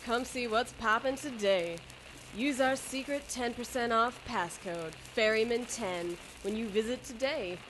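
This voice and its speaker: A woman announcer reads a sponsor spot in a casual style. Her voice is fairly high. There is faint noise in the background. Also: a little slow. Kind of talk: casual advertisement read.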